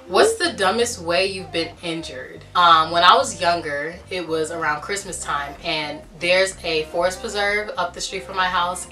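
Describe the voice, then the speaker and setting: A young woman with a deep, slightly raspy voice, chatting casually as she answers viewer questions in her bedroom. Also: distant mic. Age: young adult. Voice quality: deep and a bit raspy at times. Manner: chatty, animated storytelling with lots of fillers. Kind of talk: casual chat. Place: small bedroom, indoors. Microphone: camera at a distance.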